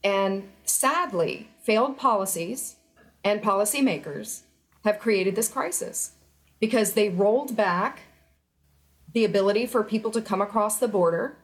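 A woman's voice: medium pitch, unhurried, poor audio. Earnest, emphatic woman guest. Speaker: interview guest, former federal employee. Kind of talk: remote news interview.

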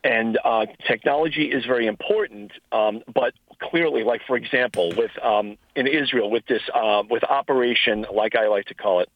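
A man being interviewed as a guest on a radio show, speaking haltingly with many restarts. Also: mid-range voice, a little hiss or hum. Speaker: guest, retired intelligence officer. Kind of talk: phone-in interview. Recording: tinny phone line.

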